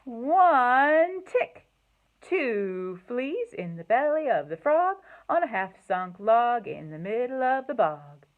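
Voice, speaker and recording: A woman hosting a children's storytime, speaking in an expressive, sing-song way to young listeners, in slightly dull, lo-fi sound. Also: a little high, slow pace. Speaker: library storytime host. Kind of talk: children's storytime, read-aloud and singalong.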